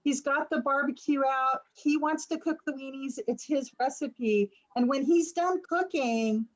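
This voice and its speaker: A woman's voice: high voice, a little slow. A woman giving a casual, conversational reading as a tarot reader over a video call, reassuring and encouraging. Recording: slightly muffled video call audio.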